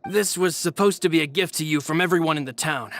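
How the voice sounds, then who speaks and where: A man playing a courteous, loyal gentleman aide in a video game, with a polite, measured, formal manner. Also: a little high, soft background music, lightly acted. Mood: explanatory.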